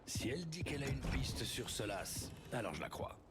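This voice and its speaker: A male actor voices a seasoned mentor in a fantasy game, resolute with a wry edge. His mid-range voice swings expressively and competes with loud noise behind it. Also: mid-range voice, sing-song, moderate pace, lightly acted. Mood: serious, determined. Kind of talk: video game voice acting.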